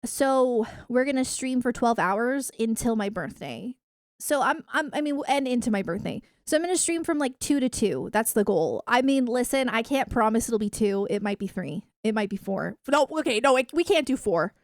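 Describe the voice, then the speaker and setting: A woman's voice: a little high, expressive, moderate pace, no room sound, studio quality. A woman livestreamer chatting casually with her viewers in an enthusiastic, emphatic gamer way. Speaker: video game livestreamer.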